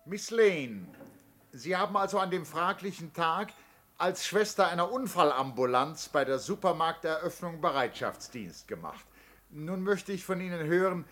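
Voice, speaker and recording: An actor playing a methodical defence lawyer in a 1970s German radio play, questioning insistently with deliberate, pointed pauses. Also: male voice, high-pitched, animated, a bit bright. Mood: formal, insistent.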